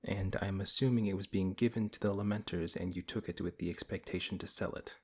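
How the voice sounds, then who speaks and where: A big fantasy paladin, acted by a man with a very low, husky voice. He is principled and wary, talking in a measured, dryly skeptical manner as in an ASMR roleplay, reluctant but willing to help. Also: steady tone, darkish tone. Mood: stern, skeptical. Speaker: audio roleplay performer. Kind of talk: ASMR audio roleplay acting.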